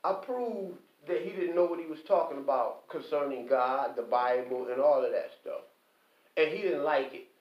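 High-voiced man ranting in an echoey room. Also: moderate pace. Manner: emphatic, repetitive, keeps asking "okay?"; preachy, quotes scripture. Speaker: online commentator. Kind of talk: impassioned monologue. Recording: echoey, distant, dull lo-fi.

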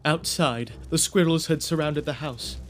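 A man playing the storyteller of a dark animal audio drama, narrating in a measured, theatrical way over music. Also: a little high, close to an everyday voice. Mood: ominous. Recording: music clearly behind.

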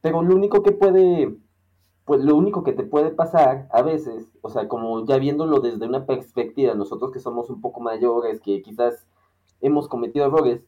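A man's voice: mid-range voice, moderate pace, dull audio. A man with a Mexican accent chatting casually as a podcast co-host, rambling and searching for his words. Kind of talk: casual conversation.

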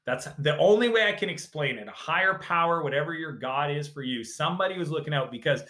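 Male guest, bright and forceful tone, emphatic storyteller, roomy sound. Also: a little high, expressive, fast. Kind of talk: casual interview talk.

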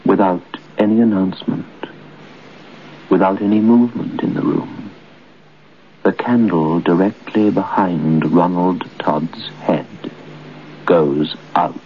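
A muffled old radio recording with faint noise carries a grave storyteller. He is low and slow, building suspense in measured phrases. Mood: ominous suspense. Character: grave storyteller of a ghostly tale. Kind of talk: old-time radio horror narration.

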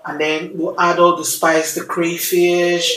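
A woman from Nigeria with a Nigerian accent, hosting a casual panel chat, chatty and quick to ask questions. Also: fairly low pitch, level tone, a bit bright. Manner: chatty, laughing, asks questions. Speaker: host. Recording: echoey room with faint noise.

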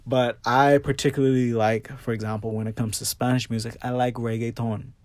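A man from the Bahamas speaks in a close, dry recording with a medium-pitched voice. He hosts a personal podcast in a conversational, expressive way, leaning on key words and addressing listeners directly. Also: fairly quick, no room sound. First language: English. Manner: expressive, emphatic, checks in with listeners. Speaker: podcast host. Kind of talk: personal solo podcast talk, casual and conversational.

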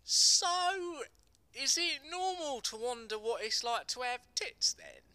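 A man's voice: very high voice, takes it slowly, pushed and bright, smooth, no echo, pitched above the performer's own voice, exaggerated voice. A man voicing blunt, naively curious gang members asking questions in a dramatic screenplay reading. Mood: crude curiosity.

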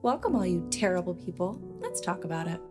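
A woman's voice: on the low side, very animated, a little fast. A woman speaking a scripted podcast intro in a bold, blunt manner. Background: loud guitar music.

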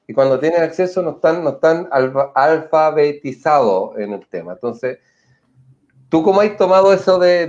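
Muffled, higher-voiced man, halting delivery. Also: a little mellow. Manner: halting, repeats words, tags phrases with "ah". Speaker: interviewer. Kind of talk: casual conversational questioning. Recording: dull, muffled sound.

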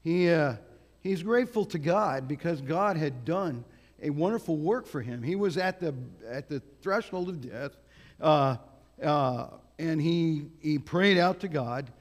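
Guy with a big gravelly voice preaching, lots of uh and restarts, reverby church hall. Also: on the high side. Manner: loose, halting delivery with many fillers and restarts. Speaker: guest preacher. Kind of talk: sermon. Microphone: microphone on a sound system.

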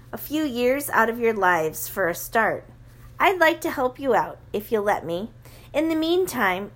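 A woman voicing a kindly, mild-mannered man, a gentle minor wizard with a calm, genial way of talking. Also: fairly high pitch.

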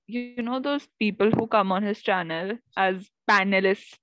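A woman's voice: mid-range voice, unhurried, fairly bright tone, no room sound. A young woman chatting casually, filling her talk with "like" and "you know" as she leads the questions. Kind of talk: casual chat. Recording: dull lo-fi sound.

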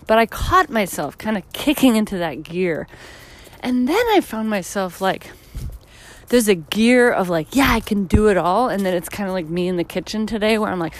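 A tired woman in her thirties, hosting her own podcast, reflecting in a rambling, casual way while walking outdoors on a street, her voice a little deeper and husky. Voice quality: slightly deep, husky from tiredness. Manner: reflective, rambling, many fillers. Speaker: podcast host. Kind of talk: casual solo podcast monologue.

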